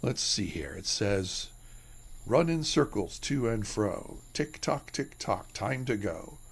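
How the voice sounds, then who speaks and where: A greedy, grumbling man mutters to himself in a lowish, gravelly voice, as an actor plays him in a radio drama. His pitch moves about widely. A faint hiss sits under the recording. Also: expressive, takes it slowly, full character voice. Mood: muttering.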